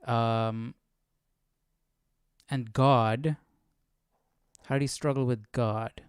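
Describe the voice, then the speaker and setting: A man's voice: medium pitch, steady tone, drawn-out words, clean tone, dry recording, studio quality. A man hosting a Bible study, casually commenting and thinking aloud with frequent questions and check-in tags. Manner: questioning, often adds "right?".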